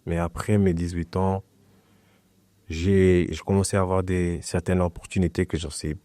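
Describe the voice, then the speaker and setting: A young man from Portugal whose first language is Portuguese, talking as a guest in a relaxed, hesitant way and often restarting his phrases. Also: low voice, normal speed, no echo. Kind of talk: casual conversation.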